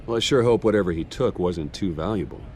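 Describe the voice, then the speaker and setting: A man's voice: deep voice, lively intonation, fast talker, a little mellow, a little hiss or hum. An actor voicing a guarded, brooding billionaire man in his thirties in a video game, terse and dry. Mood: probing. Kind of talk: cinematic video game voice acting.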